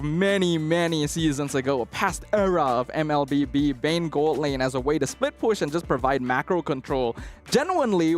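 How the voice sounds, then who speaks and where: High-voiced man, esports analyst. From Malaysia. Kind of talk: conversational panel analysis.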